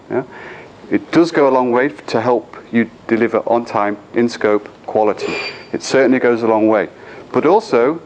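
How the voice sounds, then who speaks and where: English man giving a lively conference talk. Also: medium pitch, a little hiss or hum. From England. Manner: engaging, asks the audience frequent questions. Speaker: conference speaker. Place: conference room.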